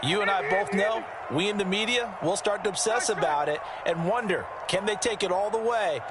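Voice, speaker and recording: A man's voice: high-pitched, normal speed, over a noisy crowd, very noisy, lightly acted. A man playing a chatty, upbeat football color analyst in a video game, making emphatic, repetitive points. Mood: analyzing. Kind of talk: sports analysis commentary.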